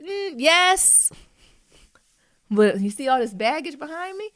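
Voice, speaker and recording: A woman's voice: high-pitched, slow pace, dry recording, studio quality. A woman co-hosting a podcast, a little congested, talking frankly in casual chat and acting out quoted people as she tells stories. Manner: frank, animated storytelling with quoted voices. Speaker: podcast co-host.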